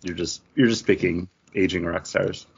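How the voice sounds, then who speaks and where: A man's voice: deep voice, steady tone, moderate pace, creaky, breathy edge, muffled recording. A man co-hosting a podcast, chatting casually about geek news. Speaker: podcast co-host.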